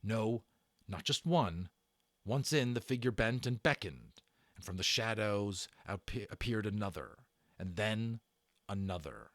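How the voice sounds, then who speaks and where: A man narrating a children's novel in a theatrical way, stressing words and pausing for drama. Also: fairly low pitch, animated, a bit bright, no room sound, clean studio sound. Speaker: audiobook narrator and channel host. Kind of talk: dramatic read-aloud storytelling of a children's novel.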